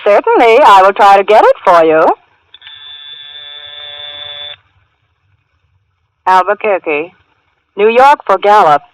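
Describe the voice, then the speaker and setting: An actress playing a polite, unhurried, formulaic long-distance telephone operator in a 1940s radio drama. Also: female voice, mid-range voice, sing-song, smooth, lightly acted. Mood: businesslike. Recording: vintage 1940s radio recording.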